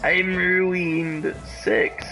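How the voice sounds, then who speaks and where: A man's voice: sits high, little pitch movement, drawn-out words, creaky. A man giving casual, jokey live commentary as he streams a game, with mock drama. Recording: game music behind the voice. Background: video game music.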